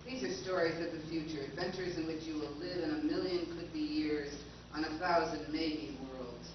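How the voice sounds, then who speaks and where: A man's voice: on the high side, fairly even intonation, normal speed, lo-fi sound, noisy background, natural voice. A man playing a formal 1950s radio announcer in a live stage recreation, recorded in an echoey hall from far off. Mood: announcing.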